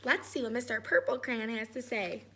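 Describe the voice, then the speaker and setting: A woman's voice: a little high, steady tone, normal speed, bright, forceful tone, a little hiss or hum. A woman teacher reading a children's storybook aloud, with sing-song chatty asides and questions to her young listeners.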